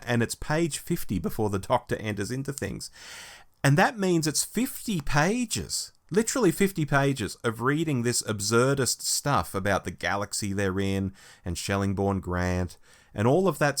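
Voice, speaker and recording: A man hosting a review podcast, speaking emphatically about a book. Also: mid-range voice. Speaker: podcast host. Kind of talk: book review discussion, partly reading a plot summary.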